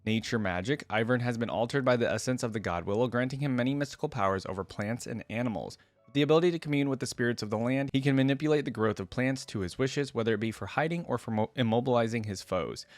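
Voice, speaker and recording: A man's voice: lowish voice, no room sound. Casual male reaction host, dry close sound. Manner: enthusiastic, informal, often reacting aloud. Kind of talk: casual gaming reaction commentary, reading wiki text aloud.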